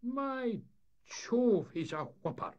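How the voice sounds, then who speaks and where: Storybook role: exclaiming, marvelling Scottish mate, voiced by a man, lively swooping pitch, slightly muffled sound. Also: slow pace, heavily acted. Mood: amazed. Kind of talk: storybook character voice in humorous tale.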